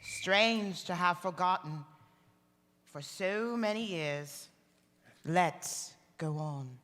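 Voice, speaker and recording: A woman acting calm stern spirit, very slow, bright voice, solemn commanding radio-play delivery, reverberant hall. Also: on the low side. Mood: gentle, grave. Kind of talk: live radio theater acting. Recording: reverberant live theater hall.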